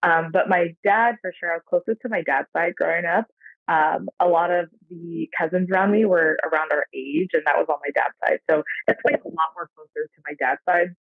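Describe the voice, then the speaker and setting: Gravelly American woman on a tinny line. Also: lowish voice, steady tone, fairly quick, no room sound. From San Francisco Bay Area, USA. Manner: reflective, rambling, heavy on fillers. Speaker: podcast guest. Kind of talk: casual interview chat. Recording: tinny phone-like dry line.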